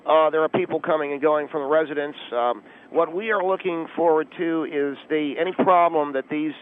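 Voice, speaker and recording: A man playing an officious, self-important but clueless volunteer auxiliary policeman on a phone line, deadpan radio comedy with pompous, halting tactical jargon. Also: on the high side, pitched above the performer's own voice. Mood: calm, pompous. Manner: pompous pseudo-tactical jargon, hesitant filler-laden delivery. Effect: telephone line. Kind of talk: deadpan comic radio call-in. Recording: tinny, narrow phone line.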